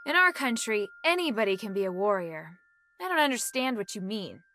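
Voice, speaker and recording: A woman's voice: medium pitch, very animated, fairly quick, pushed and bright, slight background noise. A woman playing an earnest, determined young warrior in a video game, speaking directly. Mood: resolute.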